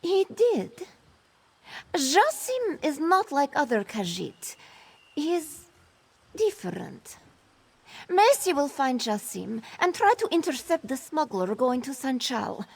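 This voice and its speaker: A woman voicing a proud, fiery, confident cat-folk woman in a video game, with a brisk, self-assured manner. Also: high voice, sing-song, creaky, full character voice. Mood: softened, resolute. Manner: self-assured, brisk, refers to herself in third person. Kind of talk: video game character dialogue, AI-voiced.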